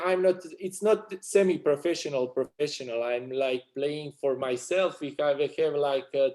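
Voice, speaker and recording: A man's voice: on the high side, darkish tone. A man from Serbia with a Serbian accent, a sports agency owner speaking non-native English in a casual interview, full of restarts and fillers.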